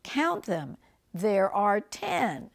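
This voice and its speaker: An older woman reading a picture book aloud to children like a grandmother, phrasing things deliberately and chatting about the pictures. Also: medium pitch, sing-song, vocal fry. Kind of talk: read-aloud storytelling with chatty asides and animal sounds.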